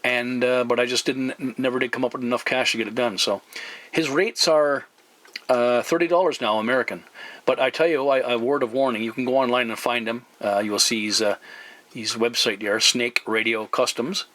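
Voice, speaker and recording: A man with a sharp, bright voice and medium pitch talks as a hobby radio operator. He explains things casually and haltingly, in the turn-taking manner of a CB exchange. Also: steady tone. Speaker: amateur CB radio operator and video host.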